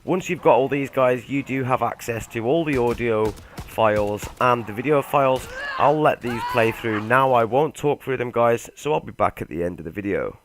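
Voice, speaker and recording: A man's voice: mid-range voice, moderate pace, a little hiss or hum. Casual man narrating a game guide. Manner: laid-back, addresses viewers directly. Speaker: gaming video host. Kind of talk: casual game walkthrough voice-over.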